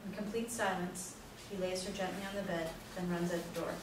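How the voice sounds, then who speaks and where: A woman's voice: lowish voice, fairly even intonation, brisk. A woman narrator reading scripted stage directions at a play reading, recorded in an echoey room. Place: echoey indoor room, far from mic. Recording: very echoey room, distant mic, noisy.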